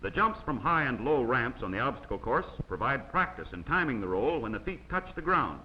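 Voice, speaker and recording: A man narrates an old instructional film in a measured, scripted delivery. His voice sits at a medium pitch. The soundtrack is dull and lo-fi, like aged 16mm film, with faint noise underneath. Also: very animated.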